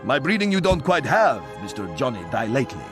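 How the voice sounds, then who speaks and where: An actor playing a haughty, flamboyant aristocratic centaur prince in a video game, pompous and mocking. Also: male voice, mid-range voice, big pitch swings, fairly quick, natural voice. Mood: pompous, boastful. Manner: pompous, mocking, inverted word order. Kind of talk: video game character acting. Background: music.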